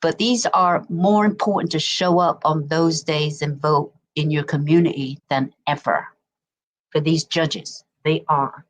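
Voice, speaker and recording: A woman's voice: sits low, steady tone, unhurried. A woman from Wisconsin, a guest in a casual chat. She is chatty and repeats herself.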